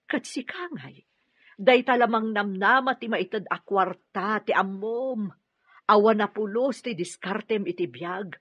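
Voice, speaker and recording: A woman playing a stern, self-reliant elder sister in a radio drama, speaking with sharp reproach. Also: mid-range voice, unhurried, slightly rough, no room sound. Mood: indignant. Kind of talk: Ilocano radio drama acting. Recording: dull band-limited radio drama audio.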